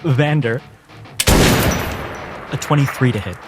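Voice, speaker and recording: A man running a tabletop game as game master, narrating dramatically in deliberate, theatrical phrases over background music. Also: mid-range voice. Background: cinematic background music.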